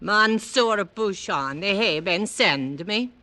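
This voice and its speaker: A woman playing a hulking, slow-witted henchwoman with a foreign accent, speaking broken English with polite menace in an old-time radio drama. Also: mid-range voice, expressive, sharp tone. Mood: politely menacing.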